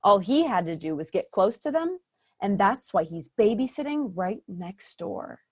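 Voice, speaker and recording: A woman's voice: medium pitch, very animated, normal speed, a little mellow, no room sound, studio quality. A woman narrating a comic fairy-tale radio play with a wry manner. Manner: wry, storytelling.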